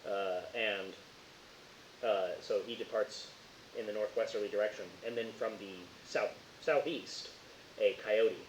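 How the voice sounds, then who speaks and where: A man improvising narration as a tabletop horror game master, casual and halting as he searches for words. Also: on the low side. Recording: roomy, dull, hissy home recording.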